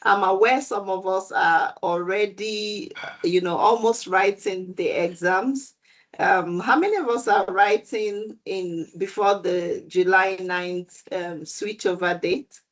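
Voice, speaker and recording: A woman speaks slowly and deliberately as she hosts an online exam prep session. Her voice sits at a middle pitch, and her manner is welcoming and measured over a video call.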